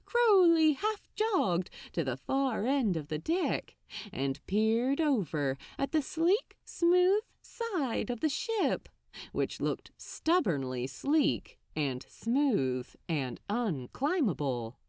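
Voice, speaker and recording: A woman's voice: on the high side, huge pitch swings, slow pace, darkish tone, no room sound, studio quality. A woman reading fiction aloud as an audiobook narrator, telling the story expressively.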